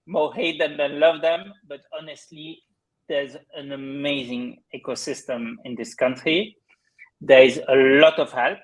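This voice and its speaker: A man's voice: medium pitch, low-quality recording. A man from Belgium with a subtle Belgian accent, a startup founder speaking candidly and upbeat as a guest in a casual interview. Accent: faint Belgian.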